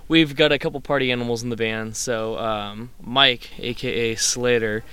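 Man, band drummer, clear resonant voice, friendly, easygoing, casual chat. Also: mid-range voice, fairly even intonation, normal speed, bright, forceful tone, no echo. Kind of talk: casual interview answers.

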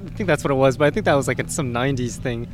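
A man hosting a punk radio show, interviewing a guest in a relaxed, chatty way and laughing often. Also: mid-range voice, racing.

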